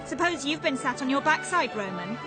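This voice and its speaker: A woman voicing a cheeky, bossy, teasing young woman in a comic animated game. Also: high-pitched, fairly quick. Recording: loud music behind.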